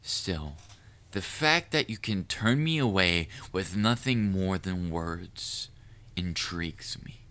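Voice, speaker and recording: A man playing a proud, cunning, lovestruck assassin in an audio roleplay, speaking formally and deliberately to the listener with quiet menace. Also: low voice, animated, full character voice. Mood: rueful, intrigued. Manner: formal wording, deliberate, measured. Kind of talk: scripted audio roleplay monologue addressed to the listener.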